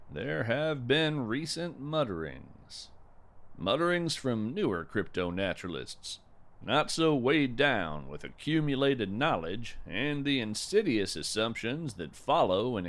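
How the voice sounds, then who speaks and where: A man playing an earnest, folksy naturalist who narrates audio fiction in florid, wonderstruck, deliberate phrases. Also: medium pitch, fairly bright tone, exaggerated voice. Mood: thoughtful, hopeful. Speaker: podcast host and narrator. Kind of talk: scripted first-person audio fiction narration.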